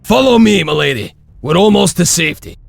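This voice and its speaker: A male actor playing a rough, excitable, loyal wolf-clan warrior in a video game, with a raspy, theatrical voice. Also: high voice, brisk, dry recording, soft background music, heavily acted. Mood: reassuring. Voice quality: raspy, gravelly. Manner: boisterous, theatrical. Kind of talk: dramatic video game acting.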